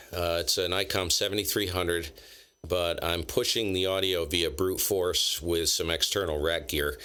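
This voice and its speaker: A man's voice: sits low, sharp tone, smooth. A man hosting a ham radio chat, talking casually and loosely about his gear in a friendly way. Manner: chatty, quick, loose and friendly. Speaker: ham radio operator, channel host.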